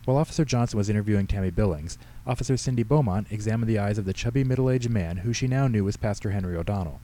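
Male author-narrator for short fiction: lowish pitch, very soft mellow tone, scripted delivery. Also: fast talker. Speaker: author reading own story.

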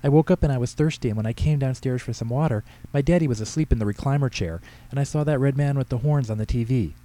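Storybook little girl, about four, played by a man: curious, earnest, innocent, clearly raised pitch. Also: fast talker, warm tone, natural voice. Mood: innocent, eager. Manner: simple, earnest childlike talk.

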